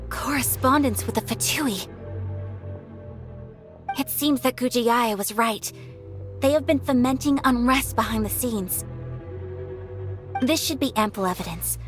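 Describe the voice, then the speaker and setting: A woman voicing a refined, gentle but decisive young noblewoman in an anime-style video game, polite and composed. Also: a little high, lightly acted.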